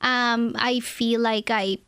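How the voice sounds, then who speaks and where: A woman's voice: fairly high pitch, steady tone, takes it slowly, a bit bright, clear, resonant tone, dry recording, studio quality. A woman from the Philippines in her thirties, Tagalog her first language, chatting easily as a realtor guest in an interview and laughing often. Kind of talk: casual interview answers.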